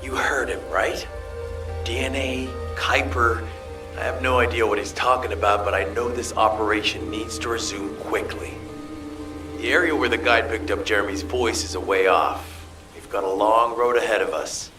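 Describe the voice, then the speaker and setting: An actor playing a tense, commanding military mission officer, earnest and authoritative in scripted game dialogue. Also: male voice, fairly low pitch, steady tone, normal speed, lightly acted. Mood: grave, businesslike. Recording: music behind the voice.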